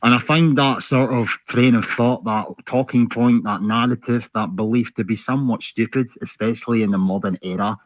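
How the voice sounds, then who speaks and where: A man's voice: mid-range voice, normal speed, hardly any pauses, a little hiss or hum. A Scottish man giving a profane, sarcastic rant in a video commentary, tagging lines with "right?" and "like". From Scotland. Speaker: commentary YouTuber.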